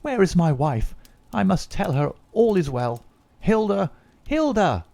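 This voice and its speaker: Overwrought young secretary, pitched up and loud, played by a male reader, dry close take. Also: sing-song, moderate pace, dry recording. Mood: frantic. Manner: agitated, exclamatory.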